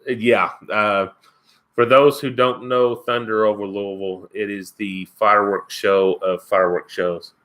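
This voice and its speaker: A laid-back man with a Kentucky accent, talking to his viewers on a live stream. His voice sits a little low, and he rambles along, chuckling and answering chat comments as they come in. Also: steady tone, measured pace, fairly bright tone. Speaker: outdoors channel host.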